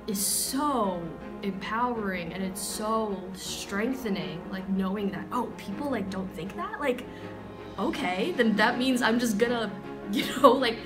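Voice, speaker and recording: A woman talking in an upbeat, determined way in an interview, with casual fillers. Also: mid-range voice. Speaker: actress. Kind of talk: interview soundbite.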